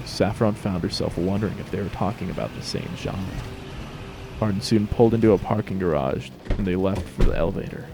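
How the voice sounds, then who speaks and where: A man's voice: sits low, creaky. A man narrating a scripted story as a dramatic reading.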